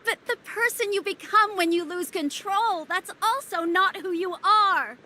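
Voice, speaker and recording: A woman's voice: extremely high pitch, measured pace, pushed and bright, slight background noise, heavily acted. A woman playing a fretful young doctor who scolds as a younger sister, exasperated and emphatic, in a video game. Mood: upset, stern.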